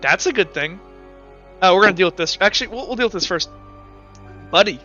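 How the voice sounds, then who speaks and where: A man narrating and refereeing a tabletop role-playing game among friends, in an informal, animated storytelling way. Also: sits high, a little fast, highs cut off, soft background music. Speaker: game master.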